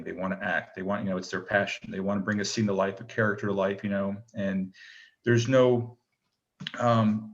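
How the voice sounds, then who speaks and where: A man's voice: lowish voice, highs cut off. A middle-aged man, a retired military pilot turned actor, answering interview questions casually and rambling, with many fillers and restarts.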